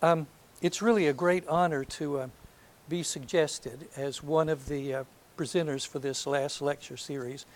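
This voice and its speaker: An older man from North Alabama with a soft voice, giving a reflective lecture to an audience, hesitant and full of ums, with gentle self-deprecating humor. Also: a little high, fairly even intonation, moderate pace, few pauses. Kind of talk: last lecture, reminiscence. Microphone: handheld or podium microphone.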